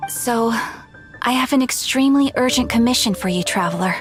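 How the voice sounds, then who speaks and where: A woman voicing a calm, polite young receptionist of an adventurers' guild. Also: on the high side, fairly quick, natural voice. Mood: earnest. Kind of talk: video game voice acting. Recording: game cutscene with soft music.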